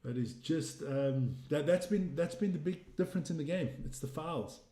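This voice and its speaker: A man with a South African English accent talks casually on a live stream, rambling and answering viewers as he goes. His voice sits a bit high with a mellow, soft tone. The room around him is roomy and echoey. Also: moderate pace. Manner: rambling, chatty, reading viewer comments aloud. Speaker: livestream host.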